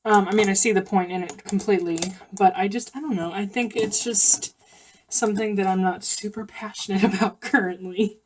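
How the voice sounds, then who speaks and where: A woman's voice: mid-range voice, fairly even intonation, moderate pace, slightly rough. Sniffly young woman rambling casually. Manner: rambling, many ums and self-corrections. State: sniffly, coming down with a cold. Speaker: beauty vlogger. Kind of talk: casual makeup tutorial chat.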